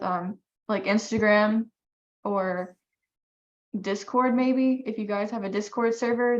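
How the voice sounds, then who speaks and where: Young female committee volunteer, earnest and courteous, informal delivery over a dull conference-call line. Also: medium pitch. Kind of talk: informal meeting discussion. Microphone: teleconference call.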